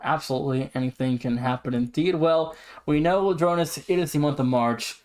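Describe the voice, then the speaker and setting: Announcer-like man, slightly high voice. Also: normal speed, darkish tone. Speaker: podcast co-host. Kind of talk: casual sports commentary.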